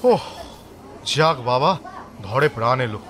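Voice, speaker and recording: A man's voice: medium pitch, lively intonation, takes it slowly, a bit bright, a little hiss or hum. A man acting a polite, flustered screenwriter in an audio drama. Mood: relieved.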